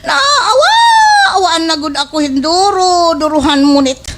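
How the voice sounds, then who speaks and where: A scheming village gossip with a Waray accent in a slapstick radio comedy. Her high voice swings wildly in pitch. She draws her words out slowly, theatrical and wailing, jealous and quick to rage. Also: lively intonation, shouting, very noisy. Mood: envious fury. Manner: theatrical, exaggerated, wailing and drawn-out delivery. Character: envious, scheming village gossip woman, easily enraged.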